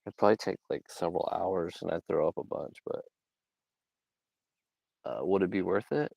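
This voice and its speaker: A man's voice: low-pitched, moderate pace, creaky, mellow, no echo. A young man from Georgia in the US South, casually rambling on a livestream with lots of fillers and little laughs. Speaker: livestream host. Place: outdoors.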